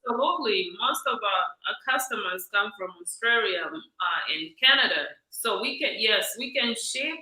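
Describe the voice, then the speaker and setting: A woman who grew up in Zambia answers as a business guest in short, hesitant phrases. Her voice is bright and forceful, and it carries a roomy echo over the call. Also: mid-range voice, animated, moderate pace, few pauses. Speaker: guest, entrepreneur. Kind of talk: casual interview answers.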